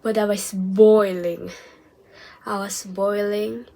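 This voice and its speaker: A young woman vlogging, telling a personal story casually, hesitant and full of restarts, dropping into soft whispered asides. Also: medium pitch, steady tone, slow pace, creaky.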